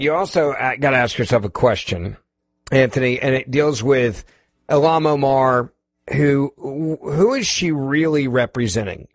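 A man hosting a talk radio show and taking calls, talking emphatically and quickly, often pushing his voice higher to drive a point home. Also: no room sound. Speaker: fill-in radio talk host. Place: radio studio. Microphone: studio microphone.

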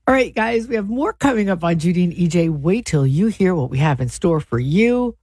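A hoarse woman co-hosting a radio show, trading wry banter and reading out listener messages from the studio. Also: lowish voice, animated, a little fast, a little mellow, no echo. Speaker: radio co-host. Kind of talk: casual morning radio banter. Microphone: studio microphone.

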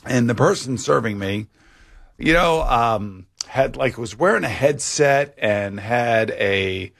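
A man hosting a casual radio talk show, telling personal stories in a rambling, halting way. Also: mid-range voice, lively intonation, creaky, fairly bright tone. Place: radio studio.

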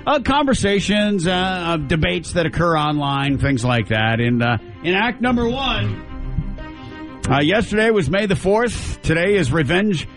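A man's voice: sing-song, unhurried, over music. A man hosting a comedy show, trading argumentative scripted lines with filler-heavy asides. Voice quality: deep voice. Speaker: host. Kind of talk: hosting and reading a scripted debate.

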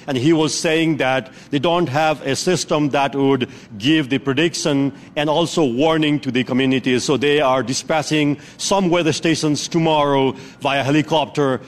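A man reporting live for a news program, giving a careful, earnest account with frequent restarts. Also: medium pitch.

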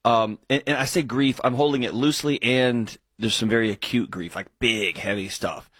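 A man's voice: mid-range voice, moderate pace, fairly bright tone, no echo, studio quality. A man in his forties co-hosting an advice call-in show, talking earnestly and reflectively with frequent restarts. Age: 40s. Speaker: co-host.

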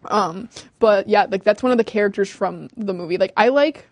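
A woman's voice: medium pitch, normal speed, no echo. A nineteen-year-old woman co-hosting, chatting casually with lots of "like" fillers and frequent laughs. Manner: chatty, many "like" fillers, giggly. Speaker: co-host. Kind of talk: casual chat.

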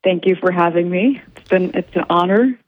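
A woman being interviewed as an artist on the radio, talking in a halting way with many fillers and restarts. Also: fairly low pitch, dry recording. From United States. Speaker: guest artist. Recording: dry, phone-like line.

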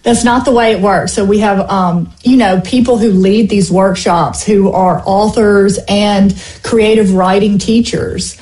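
Mellow Southern woman with lots of ums. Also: mid-range voice, fairly even intonation, measured pace, hardly any pauses, reverberant, rough recording. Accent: Mississippi Delta Southern. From Mississippi Delta. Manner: chatty, many ums and you-knows. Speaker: book festival organizer. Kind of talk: casual radio interview.

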